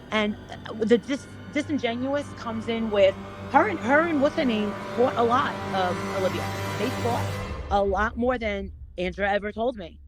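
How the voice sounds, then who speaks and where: A woman chatting casually as a panel guest, rambling and haltingly repeating her words as she goes. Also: fairly high pitch. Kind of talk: casual gossip chat.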